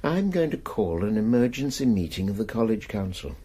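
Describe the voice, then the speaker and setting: A raspy dignified college Master. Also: male voice, lowish voice, very animated, warm tone. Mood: grave. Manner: measured, authoritative. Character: dignified older male college head. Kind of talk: BBC radio drama.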